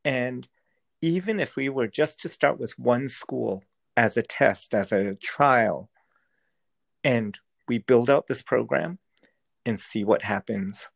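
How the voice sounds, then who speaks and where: A man's voice: mid-range voice, slow pace, creaky. A man whose first language is Cantonese, speaking as a school district educator in an interview, earnest and often restarting as he searches for words. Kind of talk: thoughtful interview answers.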